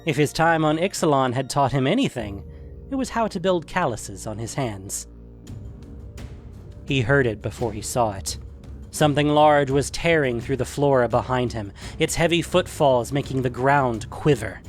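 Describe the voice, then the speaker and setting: A man's voice: mid-range voice, animated, normal speed, faint music behind. A man narrating a scripted fantasy adventure story.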